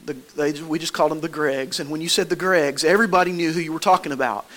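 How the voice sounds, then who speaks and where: A man's voice: on the high side, fast, fairly bright tone. A man with a Southern American accent preaching a sermon in a church, folksy and conversational, with homespun stories. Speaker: pastor.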